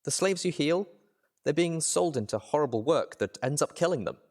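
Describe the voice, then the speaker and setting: Man voicing an impassioned, high-pitched young female pony. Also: expressive, normal speed, a little mellow. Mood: pleading, insistent. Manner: exclaiming, emotional, stressed.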